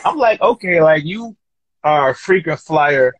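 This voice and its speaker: Husky-voiced man hosting with hype. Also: on the high side, expressive, a little slow, no echo. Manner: encouraging, hyping up the guest with slang. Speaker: podcast host. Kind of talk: casual conversational interview.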